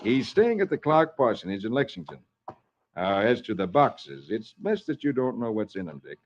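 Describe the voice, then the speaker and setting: A man's voice: on the low side, sing-song, moderate pace. A man playing a secretive, practical colonial American silversmith in 1940s radio style, confiding and businesslike. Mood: confiding, brisk. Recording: dull, noisy vintage radio recording.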